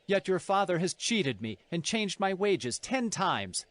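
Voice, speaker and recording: A man's voice: high voice, big pitch swings, unhurried, fairly bright tone, no room sound, heavily acted. A man playing an earnest, aggrieved husband and herdsman, declaiming in a dramatized scripture audio.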